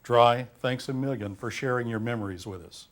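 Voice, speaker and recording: A man's voice: mid-range voice, moderate pace. Courteous male interviewer asking questions.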